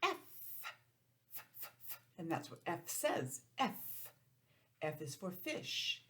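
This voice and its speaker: Slow-talking woman teaching young children. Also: low voice, big pitch swings, fairly bright tone. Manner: informal, encouraging, sounds out letters and counts deliberately. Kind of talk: children's lesson, read-aloud introduction.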